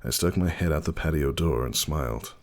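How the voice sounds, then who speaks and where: A man giving a dramatic first-person story reading in a measured, wistful way. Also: extremely low pitch. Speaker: audiobook narrator. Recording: music behind the reading.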